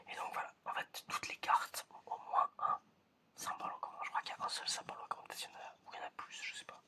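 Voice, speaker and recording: Bright, whispered ASMR voice. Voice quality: breathy unvoiced whisper. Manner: hesitant, chatty, playful, repeats phrases. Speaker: ASMR creator playing an examiner giving sense tests.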